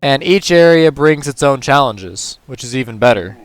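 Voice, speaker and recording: A man with a hoarse, tired voice, casually talking through a puzzle game move by move as a gaming channel host. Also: medium pitch, normal speed, rough recording. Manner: relaxed, talks through moves step by step. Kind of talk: casual gameplay commentary.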